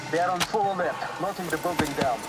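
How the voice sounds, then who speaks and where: An actor playing a resolute Russian double agent on the run in a video game. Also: male voice, a little high, fast talker, lightly acted. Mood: tense, grateful. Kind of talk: video game cutscene acting. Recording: distant voice in loud clattering noise.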